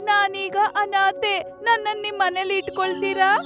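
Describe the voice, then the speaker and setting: A woman as a very high, pleading little goat. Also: little pitch movement, measured pace, music in the background. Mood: hurt, pleading. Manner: childlike, polite pleading. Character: pleading orphaned young female goat. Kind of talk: cartoon story voice acting.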